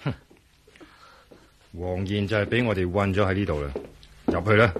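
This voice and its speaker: An actor playing a menacing, gloating villain with a husky voice in a Cantonese radio drama. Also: male voice, low voice, fairly even intonation, normal speed, slight background noise, lightly acted. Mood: impatient, commanding. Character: menacing, gloating male villain hunting for a stone.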